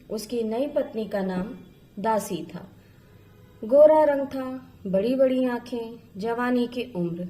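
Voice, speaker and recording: A woman's voice: fairly high pitch, warm tone, low-quality recording. A woman storyteller reading a literary story as scripted narration.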